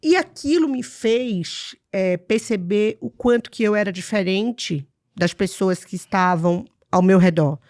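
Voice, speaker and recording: A woman's voice: mid-range voice, unhurried, hardly any pauses, a little mellow, no room sound, clean studio sound. A woman in her forties from Salvador, Bahia, hosting a podcast interview and telling personal memories in an earnest, reflective way. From Salvador, Bahia, Brazil. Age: 40s. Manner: earnest, reflective storytelling with frequent "né?" tags. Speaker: podcast host.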